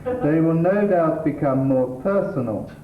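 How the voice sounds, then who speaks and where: A man's voice: fairly high pitch, expressive, a little slow. Man playing a testy English husband, far-off and echoey. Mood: lecturing. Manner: exasperated, pedantic, dry sarcasm. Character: testy, sardonic middle-class English husband, lecturing his wife. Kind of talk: theatrical stage comedy acting. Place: theatre stage. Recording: distant, echoey, tinny stage recording, hissy.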